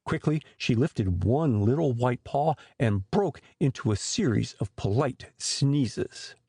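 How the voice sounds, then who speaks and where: A man with a husky voice narrating a scripted story as an expressive audiobook storyteller. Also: mid-range voice, takes it slowly, no echo.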